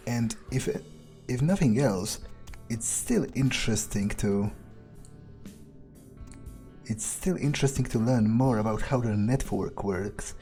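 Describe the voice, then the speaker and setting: A man's voice: on the low side, dark tone. A man roleplaying through a video game, thinking aloud in a hesitant, sardonic, sometimes profane way. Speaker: game player roleplaying a character.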